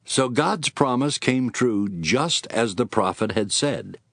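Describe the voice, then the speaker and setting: A man's voice: mid-range voice, lively intonation, slow pace, fairly bright tone, no echo, clean studio sound. A man narrating scripture as a scripted dramatic story.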